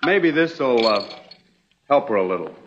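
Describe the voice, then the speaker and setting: A young, self-assured smooth talker in a TV Western. He speaks politely with salesman-like pauses, his medium voice rising and falling freely. The old soundtrack sounds dull. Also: mid-range voice, very animated, a little hiss or hum. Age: young adult. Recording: muffled vintage TV soundtrack.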